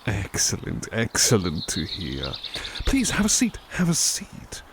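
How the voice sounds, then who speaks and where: A man voicing a doting butler in a soft-spoken ASMR roleplay, talking gently and soothingly with hesitant restarts. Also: on the low side, huge pitch swings, unhurried, noisy background.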